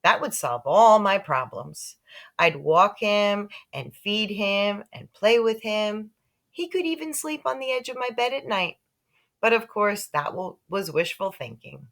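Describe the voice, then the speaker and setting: A woman reading a children's book aloud in an expressive storytelling style. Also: medium pitch, measured pace, creaky. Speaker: story reader.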